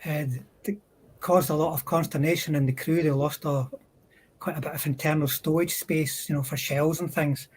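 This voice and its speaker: A man's voice: on the high side, steady tone, moderate pace. A man on a livestream panel, adding explanations in casual conversation.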